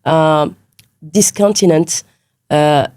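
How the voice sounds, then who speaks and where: A woman's voice: low-pitched, very slow, a little mellow, clean tone, no echo, clean studio sound. A woman hosting a podcast interview, asking long rambling questions full of fillers and encouragement. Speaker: podcast host. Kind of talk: interview conversation.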